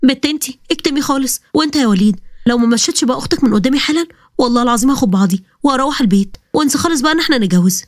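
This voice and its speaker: A woman's voice: high voice, expressive, rapid-fire, dry recording, clean studio sound. A woman voicing an upset young bride who is fretful and indignant, speaking with an Egyptian accent.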